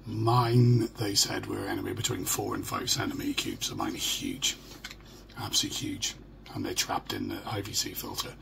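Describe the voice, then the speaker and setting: A middle-aged British man with a hoarse, croaky voice, talking haltingly and in a downbeat way in a casual personal video diary. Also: fairly low pitch, expressive. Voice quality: croaky, gravelly. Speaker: vlogger. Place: small furnished room at home. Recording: noisy home phone or webcam recording.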